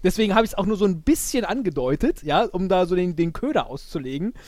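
A man chatting casually as a podcast guest, with an animated, self-mocking and rambling way of talking. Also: high voice, fast talker, no echo, clean studio sound. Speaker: podcast guest, improv audio-play player. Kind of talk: casual chat.

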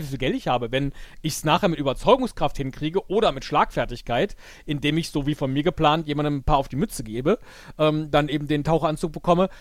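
A man's voice: fairly high pitch, no room sound, studio quality. A man chatting casually as a podcast guest, explaining his thoughts reflectively. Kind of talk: casual chat.

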